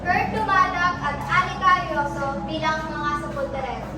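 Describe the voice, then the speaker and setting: A woman's voice: high-pitched, moderate pace. A woman reading out scripted narration as announcer for a school stage play. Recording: echoey, distant, loud music over voice.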